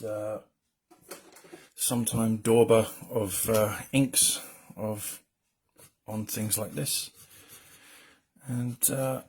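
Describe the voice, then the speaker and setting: A man talks in a low, slightly husky murmur as he draws live. His commentary wanders in a loose, rambling way, full of self-mocking asides, and he speaks into a desk mic. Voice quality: low, mumbling voice. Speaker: comic colorist streaming a drawing. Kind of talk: casual live drawing commentary.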